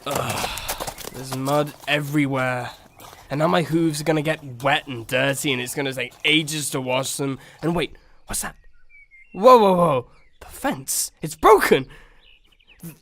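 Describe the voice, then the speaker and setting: Man playing a mopey, higher-voiced horse. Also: animated, moderate pace, a bit bright. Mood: hesitant, excited. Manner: sighing, rambling self-talk, sarcastic. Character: mopey young male horse, dreaming musician, anxious. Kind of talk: cartoon-like audio story acting.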